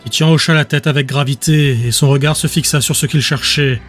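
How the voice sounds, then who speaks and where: A man narrating scripted fiction as an audiobook, with a measured, descriptive storytelling manner. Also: fairly high pitch, fairly even intonation, fairly bright tone. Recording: music under the voice.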